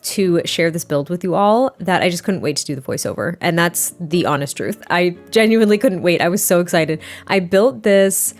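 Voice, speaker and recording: A young adult woman with a slightly hoarse, rough voice, chatting casually and rambling through a voiceover, sounding a little tired. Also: lowish voice, expressive, moderate pace, few pauses. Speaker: Sims speed build creator.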